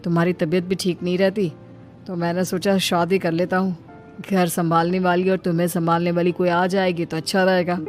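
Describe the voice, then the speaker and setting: A woman's voice: lowish voice, level tone, fairly quick, darkish tone, natural voice. A woman voicing a dutiful, reasonable son of thirty in a dramatized moral story. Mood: earnest. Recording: music behind the voice.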